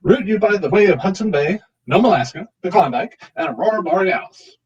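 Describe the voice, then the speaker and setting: Guy doing a grumpy officious cartoon ticket seller, super raspy, muffled and far from the mic. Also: high-pitched, lively intonation, brisk, heavily acted. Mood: befuddled, officious. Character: gruff, unbending train station ticket seller. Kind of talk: cartoon table read.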